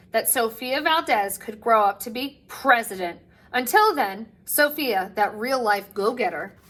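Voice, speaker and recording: A woman teacher reading a picture book aloud to children with expressive delivery, dramatic pauses and drawn-out phrase endings. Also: on the high side.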